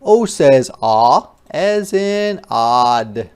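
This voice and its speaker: A teacher reading aloud to young children in a phonics lesson, enunciating letter sounds and words with exaggerated care and adding playful, expressive asides. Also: medium pitch, extremely slow, smooth.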